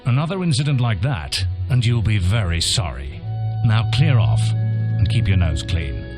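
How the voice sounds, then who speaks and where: An actor playing a stern guild instructor in a fantasy game, commanding and condescending, with clipped phrasing. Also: male voice, lowish voice, very animated, measured pace, over music. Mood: scolding.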